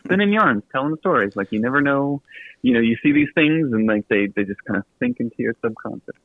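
An American man from Virginia, a guest author telling stories casually in an interview, chatty, halting and self-deprecating. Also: medium pitch, lively intonation. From Virginia, United States. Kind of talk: casual storytelling in a radio interview. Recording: tinny phone-like line with some room echo.

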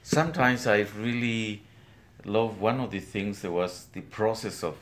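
Slow, deep-voiced older Guatemalan man, reflective. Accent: Guatemalan Spanish. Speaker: weaver, interview guest. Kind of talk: interview, recounting his life. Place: weaving studio, indoors.

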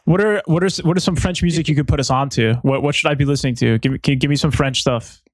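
A man co-hosting a podcast, eagerly jumping in with quick questions. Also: fairly high pitch, no room sound. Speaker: podcast co-host. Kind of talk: casual interview chat.

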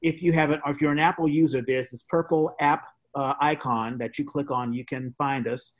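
A man hosting a podcast, reading out announcements in an informal, halting way. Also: fairly high pitch, steady tone. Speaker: podcast host. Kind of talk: casual podcast sign-off and announcements.

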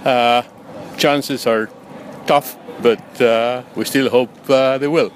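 A man's voice: mid-range voice, fairly even intonation, takes it slowly. A man from Buenos Aires with an Argentinian accent speaks hesitantly as a rugby fan in a street interview. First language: Spanish. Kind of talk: vox pop interview. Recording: outdoor street with faint noise.

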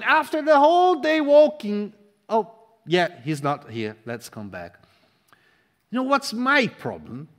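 A man who grew up speaking Portuguese delivers an impassioned sermon in English. His voice sits on the high side for a man, climbing into loud stresses and dropping to near-quiet asides. The sound carries a long reverb from a large church hall. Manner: impassioned, swings from loud to hushed, repeats words for emphasis. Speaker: preacher.

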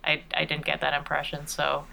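A woman's voice: deep voice, monotone, fairly quick, vocal fry, bright, forceful tone, a little hiss or hum, poor audio. A woman chatting casually and playfully as a guest in an interview. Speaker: podcast regular and voice performer being interviewed.

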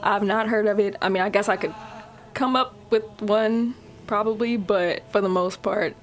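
Brief: twenty-something woman, college student, mid pitch, hesitant and thoughtful, faint music behind. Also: steady tone, normal speed. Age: 20s. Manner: hesitant, reflective, with fillers. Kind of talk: casual chat.

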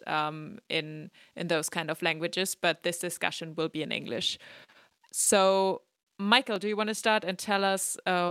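Woman host, lowish and smooth, measured moderator. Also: a bit bright, no room sound, studio quality. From Germany. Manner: measured, with fillers. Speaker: podcast host. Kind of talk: moderating a podcast discussion.

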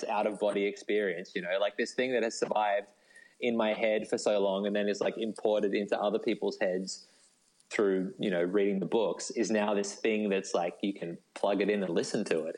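A man's voice: on the low side, low-quality recording. A man talking casually as an interview guest, enthusiastic and rambling, with lots of informal "like". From Australia. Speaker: novelist being interviewed as a guest.

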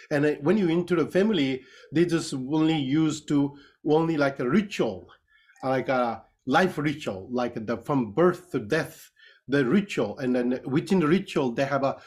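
Nepali guy as podcast guest, medium pitch, nepali accent, explains stuff in halting repetitive english. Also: a little mellow. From Nepal, Kathmandu Valley. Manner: halting, repetitive phrasing in non-native English. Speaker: interview guest, Buddhist priest and dance master. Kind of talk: conversational explanation.

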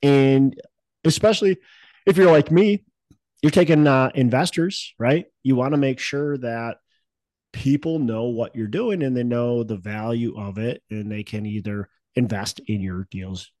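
A man's voice: medium pitch, a little slow, a little mellow, dry recording. Stuffy-nosed male podcast host, dry sound. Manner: rambling, with many fillers and restarts. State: stuffy nose and cough. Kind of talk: casual conversational chat.

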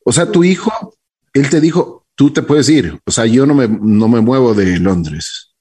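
Husky man interviewing with lively pitch. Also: measured pace. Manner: reacting with interjections and follow-up questions. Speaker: interviewer host. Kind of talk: conversational interview.

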